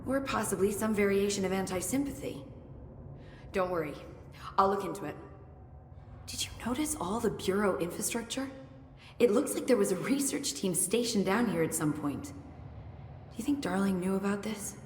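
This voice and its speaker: A woman's voice: medium pitch, lively intonation, normal speed, long pauses, darkish tone, close to an everyday voice. An actress playing an eager, unflappable young woman scientist who talks in a chatty, analytical way in a video game. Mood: curious, helpful. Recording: echoey hall, faint ambient noise.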